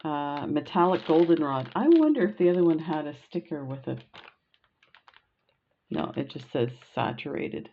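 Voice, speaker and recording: A woman's voice: low voice, expressive, moderate pace, highs cut off, a little hiss or hum. A middle-aged woman with a Canadian accent chatting casually and gushing as she unboxes beads for her vlog, with plenty of fillers. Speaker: beading vlogger.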